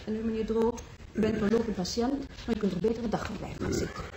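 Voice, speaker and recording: A woman's voice: a little high, steady tone, quick pace, natural voice. An actress playing a young nurse in a radio play, politely but firmly admonishing her patients. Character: young female night nurse, firm, fussing over patients. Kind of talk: radio drama acting. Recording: distant, echoey, noisy old radio recording.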